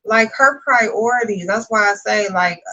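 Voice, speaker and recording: Bright, brash woman in a gossip chat. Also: medium pitch, unhurried, dull audio. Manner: brash, animated, fills with "like" and "listen".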